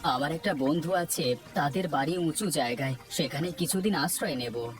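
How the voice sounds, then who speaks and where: A man's voice: a little high, moderate pace, close to an everyday voice. A man with a Bangladeshi accent playing a worried, humble family man in a cartoon, speaking plainly and earnestly. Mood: urgent. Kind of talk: Bengali cartoon story dialogue. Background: background music.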